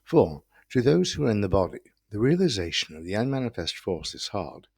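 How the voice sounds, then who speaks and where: A man reading scriptural quotations solemnly, with stately, deliberate and emphatic phrasing. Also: on the low side, big pitch swings, creaky, a little mellow, slightly rough, no room sound, clean studio sound. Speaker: reader of quotations.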